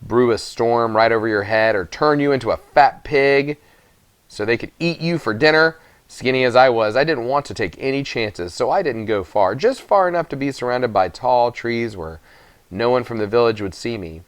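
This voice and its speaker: A man reading a children's story aloud as narrator, with expressive, dramatic emphasis on phrases. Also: medium pitch, normal speed, smooth, no echo. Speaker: audiobook reader.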